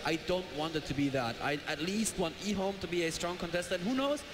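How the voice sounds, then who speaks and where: A man casting an esports match live, chatty and jokey, excitable. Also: high voice, a little fast. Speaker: esports caster. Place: large event hall with live audience. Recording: echoey hall, noisy crowd.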